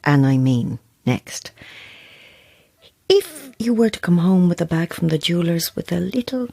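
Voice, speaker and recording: Casting: composed woman novelist in horror audio fiction. Dry, understated, guarded, with lively intonation. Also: fairly low pitch, a little slow, vocal fry, exaggerated voice. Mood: subdued, wry. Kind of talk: dramatic audio fiction acting.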